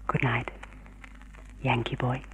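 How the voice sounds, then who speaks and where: A woman's voice: rumbling low, level tone, slow pace, fairly bright tone, slightly rough, full character voice, poor audio. A woman playing an arch, sophisticated, flirtatious society woman in a vintage radio drama. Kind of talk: 1950s radio drama acting.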